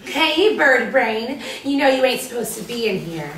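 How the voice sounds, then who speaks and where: A woman's voice: a little high, big pitch swings, unhurried, a bit bright, full character voice. A woman voicing a brash young female villain sidekick at a table reading, teasing and cocky. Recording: echoey room from a distance, faint hiss.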